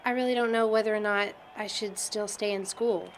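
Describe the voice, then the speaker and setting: A woman's voice: mid-range voice, fairly even intonation, fairly quick, slight background noise, natural voice. A young woman playing a chatty college student in an indie film, with a teasing, informal manner. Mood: candid, unsure. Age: young adult. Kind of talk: naturalistic amateur film dialogue.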